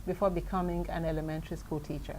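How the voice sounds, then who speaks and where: A woman's voice: sits low, flat delivery, quick pace, a little mellow, slight background noise, low-quality recording. A middle-aged woman from Cameroon, a guest educator explaining her ideas point by point in an interview. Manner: earnest, methodical, explains point by point. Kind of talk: interview answers.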